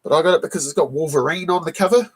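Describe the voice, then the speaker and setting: Croaky-voiced man chatting on a live stream. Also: a little high, rapid-fire. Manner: greets chat viewers one by one, clears throat often. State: croaky from a cold. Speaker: live stream host showing comic books. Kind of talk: casual live stream chat.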